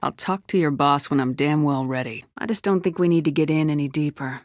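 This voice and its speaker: Actress doing a tough lady space commander for a game, kinda low voice, bossy clipped military talk, dry studio sound. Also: brisk, no room sound, close to an everyday voice. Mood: threatening. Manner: firm, authoritative, clipped military delivery. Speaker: video game protagonist. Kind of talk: scripted video game dialogue acting.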